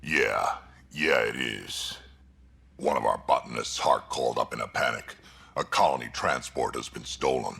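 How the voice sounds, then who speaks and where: An actor playing a gruff, blunt old krogan alien warrior with a raspy, gravelly growl in a video game. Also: male voice, a bass voice, moderate pace, a bit bright, exaggerated voice. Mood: grave, urgent.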